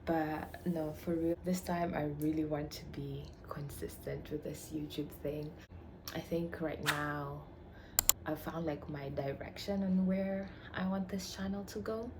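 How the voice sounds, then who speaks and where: A woman's voice: low-pitched, steady tone, a little slow. A young woman from Tanzania chatting casually to camera about herself, playful and chatty, dipping into whispery murmurs. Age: young adult. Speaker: vlogger. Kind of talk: casual get-to-know-me chat. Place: shared home room. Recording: noisy home recording with roommates behind. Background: household noise.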